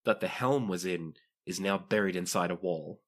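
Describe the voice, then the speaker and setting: A man's voice: deep voice, normal speed. A man narrating a tabletop roleplaying game as game master, describing scenes and explaining rules to players.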